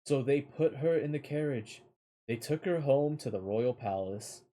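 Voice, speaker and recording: A man reading a fairy tale aloud as an amateur storyteller, sometimes halting over the words. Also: medium pitch, animated, mellow, low-quality recording.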